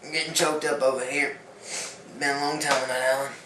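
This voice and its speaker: Dude doing a folksy castaway guy, flat voice, roomy and far away. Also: medium pitch, a little fast, natural voice. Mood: weary. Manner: folksy drawl, plain rough grammar. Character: rough castaway man back from years alone. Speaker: talk show guest. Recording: echoey room, far from mic, faint hiss.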